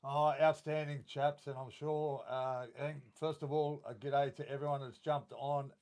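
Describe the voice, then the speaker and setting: An Australian man co-hosting a live sports podcast, with a croaky voice, talking passionately with lots of fillers. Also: medium pitch, little pitch movement, measured pace, a little mellow. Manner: passionate, rambling with many fillers. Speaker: podcast co-host. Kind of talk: casual sports chat.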